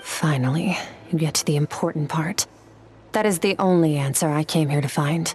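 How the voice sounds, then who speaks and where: A woman voicing a cold, proud, weary warrior woman in a video game, aloof and measured. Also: low voice, over music, close to an everyday voice. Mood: cold, dismissive. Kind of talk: scripted video game voice acting.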